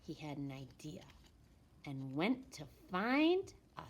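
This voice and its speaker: A woman reads a children's picture book aloud in an expressive storytelling manner, with deliberate pauses and emphasis. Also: low-pitched, slightly rough. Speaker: elementary school storytime reader.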